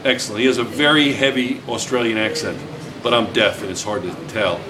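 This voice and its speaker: A man's voice: fairly low pitch, fairly even intonation, fairly quick. A man teaching a class, chatty and teasing, repeating his students' answers back to them. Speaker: physics teacher. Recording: noisy classroom recording.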